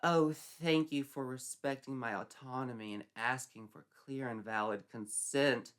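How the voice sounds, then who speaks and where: Man putting on an earnest, high-pitched teenage girl. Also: a little slow, a bit bright, clean tone, natural voice. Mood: breathless. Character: earnest, assertive teenage girl, breathlessly prim.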